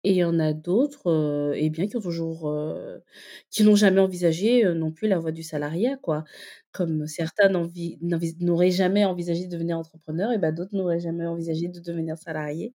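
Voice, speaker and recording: A woman being interviewed in a casual conversation, founder of a community for entrepreneur mothers, explaining her views and often pausing to find her words. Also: fairly low pitch, steady tone, warm tone. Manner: explanatory, thinks aloud with many hesitations.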